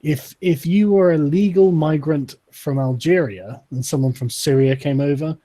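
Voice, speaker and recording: A man narrates a tabletop game as game master, his voice slightly high yet warm and husky. He talks things through casually and laughs often. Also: moderate pace.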